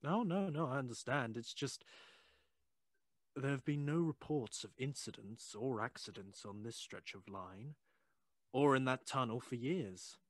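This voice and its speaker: A man's voice: mid-range voice, a little slow, dry recording, studio quality, natural voice. An actor playing a polite, concerned railway man in a period audio drama, deferential and doubting. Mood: polite, puzzled.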